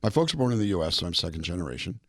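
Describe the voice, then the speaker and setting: A man in his seventies from a California border town, a bilingual singer-songwriter guest in a casual interview, telling relaxed stories and acting out the voices he quotes. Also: deep voice, rapid-fire, dry recording, clean studio sound. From California, US (Mexican border town). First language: bilingual English and Spanish. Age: 70s.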